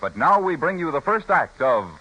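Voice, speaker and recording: A man's voice: a little high, very animated, moderate pace, poor audio. An American man announcing in a formal, emphatic, portentous 1950s radio style. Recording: old radio, loud music behind. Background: loud theme music.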